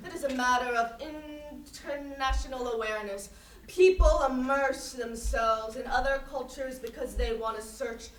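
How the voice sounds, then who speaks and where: Woman acting a high-voiced, stubborn intellectual, roomy stage. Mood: lecturing, contrarian. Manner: contrarian, emphatic, halting, repeats and negates. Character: stubborn, evasive female intellectual lecturing on culture and intention. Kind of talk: staged reading of a play before an audience. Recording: roomy, distant stage recording with faint noise.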